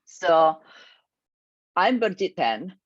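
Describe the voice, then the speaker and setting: Slow, low-voiced woman with an Indonesian accent. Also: very animated, no room sound, muffled recording. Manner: earnest, motivational, measured. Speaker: grief coach. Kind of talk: online workshop presentation.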